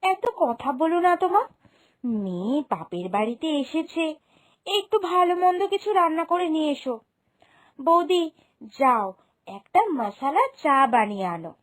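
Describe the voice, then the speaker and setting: A woman's voice: high voice, sing-song, unhurried, pauses often. A woman playing a cunning, selfish young married daughter in a cartoon moral story, entitled and wheedling. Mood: demanding.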